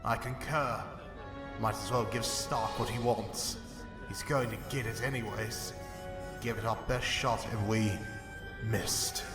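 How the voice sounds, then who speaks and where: A man's voice: lowish voice, steady tone, measured pace, over music, close to an everyday voice. A man voicing a bitter, blustering, blaming military commander in a comic dub, indignant and pompous. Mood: bitter, resigned.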